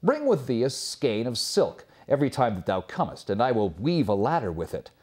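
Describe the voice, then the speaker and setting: A man's voice: medium pitch, sing-song, normal speed, darkish tone, breathy edge. A man voicing an innocent, earnest young maiden in a storybook fairy tale. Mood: earnest, willing.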